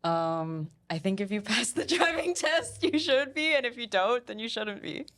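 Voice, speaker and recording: A woman's voice: a little high, animated, normal speed, a bit bright. A young adult woman speaking as a guest in a casual, conversational debate.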